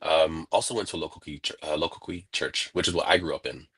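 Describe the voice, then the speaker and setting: A man from California, a guest on a video-call interview, telling stories in a casual, animated way and acting out what people said. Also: extremely low pitch, fast, slightly rough. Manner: animated, laughing, acts out quoted voices.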